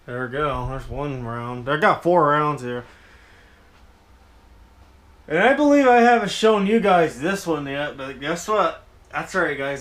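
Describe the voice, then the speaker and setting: A man's voice: a little high, a bit bright. A young man hosting a casual hobby show-and-tell, rambling informally as he addresses his viewers. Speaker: hobbyist video host.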